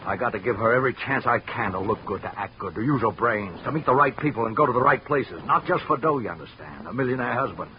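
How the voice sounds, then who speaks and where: A man's voice: mid-range voice, animated, fast talker, hardly any pauses, close to an everyday voice. An actor playing a defensive, middle-aged working-class watchman with plain, ungrammatical speech in an old radio detective drama. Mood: bitter, earnest. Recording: dull vintage radio recording, faint hiss.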